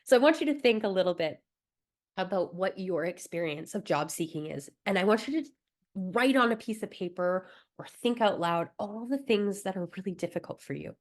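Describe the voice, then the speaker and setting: A woman's voice: mid-range voice, lively intonation, normal speed. A young woman from Alberta presenting a recorded wellbeing workshop, coaching listeners in an encouraging way with light laughs and fillers. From Alberta, Canada.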